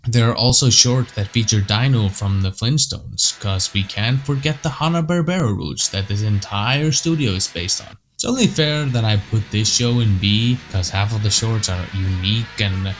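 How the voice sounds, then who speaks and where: A young man whose first language is Icelandic reads his own scripted review commentary. His voice sits a little low, and he talks bluntly and offhandedly, tossing in the odd swear word. Soft music plays underneath. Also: normal speed, hardly any pauses. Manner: blunt, opinionated, casual swearing. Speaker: cartoon retrospective host.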